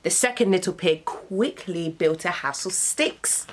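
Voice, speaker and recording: A young adult woman reading children's stories aloud as a host, with dramatic, deliberate emphasis. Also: on the low side, slight background noise. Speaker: radio host, storyteller. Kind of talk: expressive children's storybook narration.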